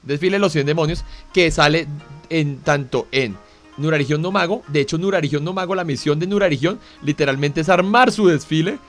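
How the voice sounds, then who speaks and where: A man's voice: a little high, fairly quick, faint music behind. A man with a Colombian accent, co-hosting an anime talk show in a casual, chatty way, full of fillers and tag questions. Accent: Colombian Spanish. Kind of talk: casual explanatory chat.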